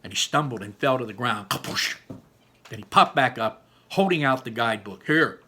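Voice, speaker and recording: A man reading a children's story aloud in an expressive, animated way with dramatic pauses. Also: mid-range voice, a little hiss or hum. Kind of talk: animated children's book narration.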